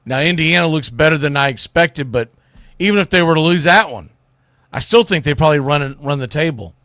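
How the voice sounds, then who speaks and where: Higher-pitched man hosting sports talk, dry sound. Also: expressive, fairly quick, fairly bright tone, no room sound. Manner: opinionated, conversational. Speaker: sports radio show host. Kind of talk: casual sports commentary monologue.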